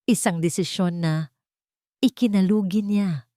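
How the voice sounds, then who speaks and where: A woman's voice: mid-range voice, a little slow, warm tone, dry recording, studio quality, natural voice. A woman voicing a grave, regretful old father recounting the past in an audio story.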